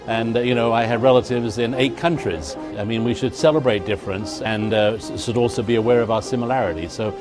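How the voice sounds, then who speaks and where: An older man with an English accent, a museum director, speaking in an interview in a measured, earnest way. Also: medium pitch, steady tone. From England. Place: museum hall. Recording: music bed underneath.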